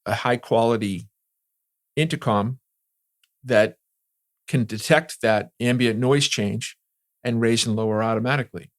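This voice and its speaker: A man's voice: medium pitch, measured pace, long pauses, no echo, studio quality. A man answering interview questions as an industry expert, explaining things conversationally and often checking in with "right?". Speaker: security and communications industry veteran being interviewed.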